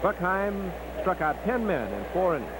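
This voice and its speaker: High-pitched man over very noisy newsreel. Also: big pitch swings, normal speed. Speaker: newsreel sports narrator. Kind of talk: 1950s baseball play-by-play narration. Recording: very noisy old 1950s newsreel.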